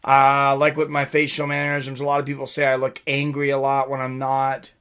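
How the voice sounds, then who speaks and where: A man's voice: mid-range voice, monotone, brisk, fairly bright tone, smooth. A middle-aged man hosting a live stream, chatting casually with viewers and commenting on fights in a rambling way. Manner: rambling, reading out and answering chat comments. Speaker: livestream host and fight commentator.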